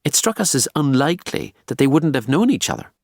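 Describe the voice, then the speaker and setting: A man's voice: mid-range voice, very animated, brisk, dry recording, clean studio sound, pitched above the performer's own voice. A man voicing a female detective constable with a Belfast accent in an audiobook, earnest and frank.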